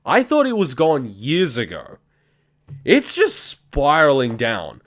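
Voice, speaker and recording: A man's voice: a little high, huge pitch swings, slow pace, a bit bright. Brief: male, twenties, hosts a reaction video with a blunt, profane ranting delivery. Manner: ranting, blunt, heavy swearing. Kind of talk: casual reaction commentary.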